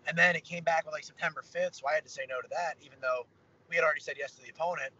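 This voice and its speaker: Young guy rattling on fast from his car on a video call, bright voice, kinda dull audio w faint car hum. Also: on the high side. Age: young adult. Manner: upbeat, rambling, lots of fillers. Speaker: pro fighter interviewee. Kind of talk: casual video-call interview. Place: inside a parked car. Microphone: phone camera on a video call.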